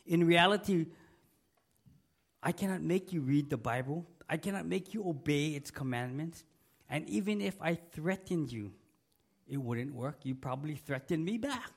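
A man's voice: a little high, animated, moderate pace, creaky, breathy edge. A middle-aged male pastor preaching a sermon in a church. He is losing his voice from a cold and talks emphatically, repeating key words and asking the congregation for an amen. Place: church sanctuary.

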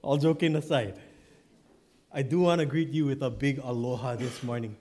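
A man's voice: medium pitch, steady tone, moderate pace, a little mellow, a little hiss or hum. A male pastor from Hawaii with a Hawaiian Pidgin accent, preaching in a joking, conversational way with tag questions. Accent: Hawaiian Pidgin English. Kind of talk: sermon.

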